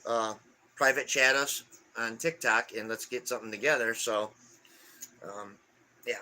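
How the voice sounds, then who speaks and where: A man in his forties co-hosting a casual live stream, reading viewer comments aloud in a halting way with lots of fillers. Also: medium pitch, fairly even intonation, pushed and bright, a little hiss or hum. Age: 40s.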